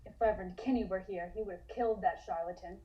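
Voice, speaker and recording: A woman's voice: medium pitch, fairly even intonation, fast talker, lo-fi sound, natural voice. A woman voicing a resentful, impatient young son of a cultist in an amateur table read. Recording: distant home microphone.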